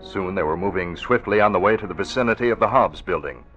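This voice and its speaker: A male announcer giving measured, formal scripted narration for a radio drama. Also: low-pitched, fairly bright tone. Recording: dull old radio recording with music.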